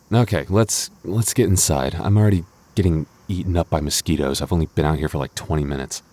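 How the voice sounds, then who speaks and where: A man's voice: very deep voice, moderate pace, darkish tone. A man playing a clingy, jealous boyfriend in an intimate audio roleplay, stammering and mock-indignant but affectionate. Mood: tender, teasing.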